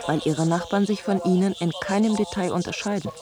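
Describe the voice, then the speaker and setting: A man's voice: high voice, steady tone, a little fast, heavy background noise, close to an everyday voice. A man acting as a probing co-narrator in a radio drama, laying out scene directions in precise fragments. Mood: matter-of-fact. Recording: loud music behind the voice.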